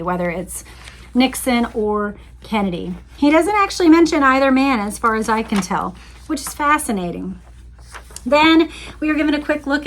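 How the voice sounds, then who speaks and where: A woman hosting a casual read-along, commenting conversationally and musingly as she reads aloud and talks to her viewers. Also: a little high. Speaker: host reading and commenting on an old magazine.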